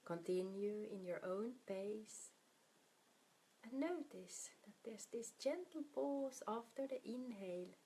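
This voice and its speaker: A woman leading a guided meditation in a soft, soothing, unhurried manner, her breathy voice often trailing off toward a whisper. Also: mid-range voice, low-quality recording.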